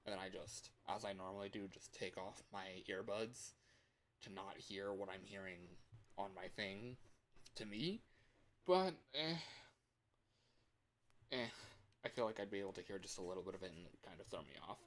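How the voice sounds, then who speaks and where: A man chatting casually to livestream viewers in an excitable, scattered, playful way, with frequent exclamations. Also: sits low, moderate pace, pauses often, a little hiss or hum. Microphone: external microphone.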